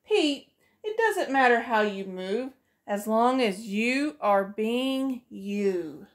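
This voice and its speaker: A woman's voice: on the high side, lively intonation, slow. A middle-aged woman, a preschool teacher, reading a picture book aloud to children in a sing-song way, voicing each character, recorded close up in a small room.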